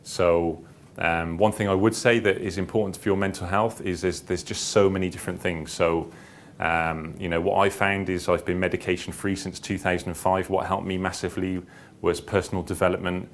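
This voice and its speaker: Deep-voiced man speaking at a seminar. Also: level tone, normal speed. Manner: hesitant, repetitive, circling back through his phrases. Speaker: seminar speaker, publisher and founder. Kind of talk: informal seminar talk. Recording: clear background noise with low rumble.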